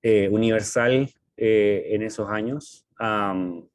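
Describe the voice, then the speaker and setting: A man with a Chilean accent talking casually as a podcast co-host, in a halting, hesitant way with frequent pauses. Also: lowish voice, dry recording, studio quality. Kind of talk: casual conversational podcast talk.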